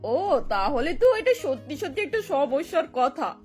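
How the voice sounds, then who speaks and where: A woman's voice: sits high, sing-song, measured pace, darkish tone. A woman voicing a nosy, gossipy older neighbour in a cartoon, teasing and exclaiming. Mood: advising. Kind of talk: Bengali cartoon voice acting. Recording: music bed behind the voice.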